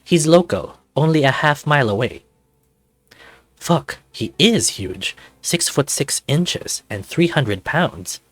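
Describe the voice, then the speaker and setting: A man, a channel host, narrating a visual novel in the first person with an expressive, animated storytelling delivery. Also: a little high, slow, no echo.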